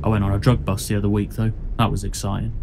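A man's voice: fairly low pitch, level tone, fast, gravelly, background noise. A man playing an earnest, nervous rookie policeman in an audio drama, deferential and full of questions. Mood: chatty.

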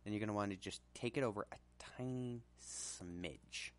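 A man presenting a casual software tutorial in an informal, hesitant way. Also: lowish voice, normal speed.

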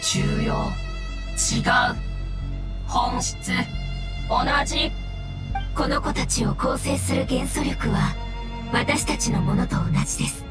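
A woman's voice: sits high, wildly swooping pitch, slow pace, long pauses, over loud music, heavily acted. A woman voicing a small floating mushroom creature in an anime game, polite and explanatory, with a whispery voice. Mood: matter-of-fact.

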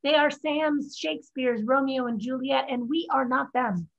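A woman's voice: high voice, moderate pace, creaky. A woman acting a practical, defiant young woman in a staged drama reading over a video call. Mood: resolute, sad. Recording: dull video-call audio.

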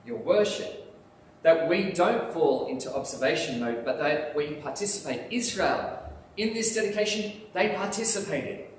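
A man delivers a sermon, animated and emphatic. His voice sits fairly high for a man and booms around a large, reverberant church hall, picked up from some distance. A faint ambient hum hangs behind him. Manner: animated, gesturing preacher with lively emphasis. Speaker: pastor. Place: church sanctuary, in front of altar. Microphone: lapel mic, filmed by far camera. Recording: echoey church hall from far off.

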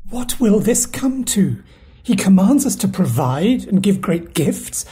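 A woman performs a loyal steward fretting with quiet exasperation in a Shakespeare audio play. Her voice is mid-pitched and mellow. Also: big pitch swings, measured pace. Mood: anxious, exasperated.

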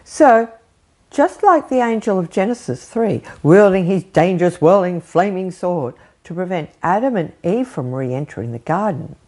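A woman's voice: fairly low pitch, moderate pace. An older woman preaching a sermon from an armchair at home, talking with her hands and asking her listeners questions. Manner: animated, gesturing, rhetorical questions. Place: living room with bookshelf, indoors. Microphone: fixed camera framing, seated.

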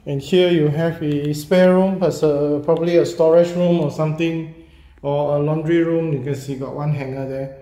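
A man, a real estate agent walking through a property and talking casually, halting and repeating himself with many fillers as he goes. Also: on the high side, steady tone, warm tone, poor audio. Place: walking through a house and yard, in and out of doors.

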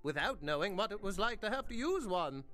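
A creepy, obsessive prosthetics maker. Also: male voice, sits high, very animated, fairly quick, bright, forceful tone, soft background music, heavily acted. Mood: eerie cordial. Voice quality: genteel, relishing, slyly unsettling. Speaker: game character. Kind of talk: video game voice acting.

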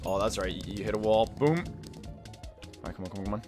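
Young male gamer, lively pitch, halting casual banter, faint music underneath. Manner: chatty, halting, repeats phrases. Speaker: gaming video host. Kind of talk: casual banter while playing a kart racing game.

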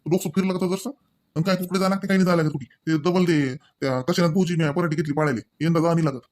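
A man's voice: a little high, steady tone, fast talker, a little mellow, lightly acted. A man voicing a harried village husband in a comedy cartoon, grumbling and placating with a Varhadi Marathi accent. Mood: irritated. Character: harried village husband, irritable but resigned peacemaker.

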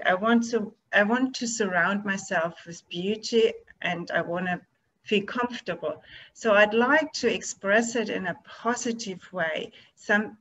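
A woman with a medium-pitched voice answers questions as a guest artist. She talks casually over a video call. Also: a bit bright, highs cut off.

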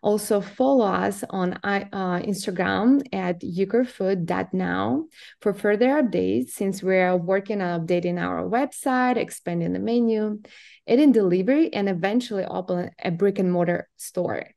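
A woman's voice: medium pitch, moderate pace, creaky, a little mellow. A woman who owns a small food business, giving a prepared presentation on a video call. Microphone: video call microphone.

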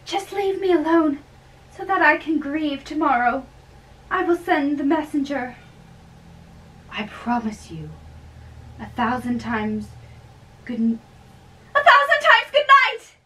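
A woman playing a lovestruck, anxious young maiden in an amateur stage-play reading, theatrical and halting, recorded from a distance. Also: sits high, very animated, pushed and bright, exaggerated voice. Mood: pleading. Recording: distant mic with background hiss.

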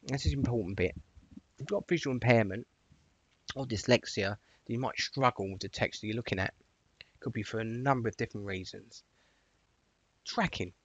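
A man's voice: medium pitch, brisk, pauses often, breathy edge, dry recording. Man giving a tutorial, dull dry recording. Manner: informal, chatty instructional talk. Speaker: software tutorial presenter. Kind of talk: informal step-by-step screen tutorial narration.

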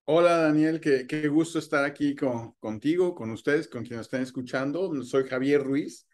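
A man's voice: a little high, normal speed, darkish tone. A middle-aged man from Mexico City, a sales engineer, introducing himself in a friendly way on a video call. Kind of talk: casual video-call interview. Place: home room with bookshelves, indoors.

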